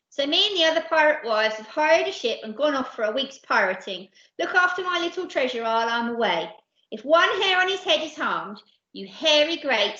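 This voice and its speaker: Woman doing exasperated pirate wife, bossy, threatening, storybook voice, animated pitch, slightly dull recording. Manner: nagging, threatening. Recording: echoey room, slightly dull sound.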